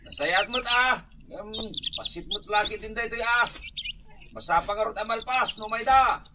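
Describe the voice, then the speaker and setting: A man with an Ilocano accent playing a stubborn, cheerful, slow-witted drunkard husband in a radio comedy drama, drawling and chuckling. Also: high-pitched, noisy background, natural voice. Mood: strained.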